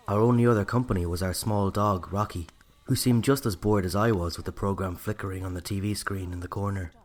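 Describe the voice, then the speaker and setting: A man's voice: low-pitched, darkish tone. A man with an Irish accent narrating a scripted first-person story.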